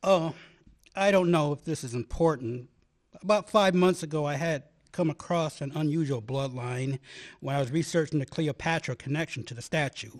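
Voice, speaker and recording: A man's voice: a little high, normal speed, close to an everyday voice. An actor playing an earnest man in love, a historical researcher, in a dramatic radio-play style. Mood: explaining. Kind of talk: stage radio play acting.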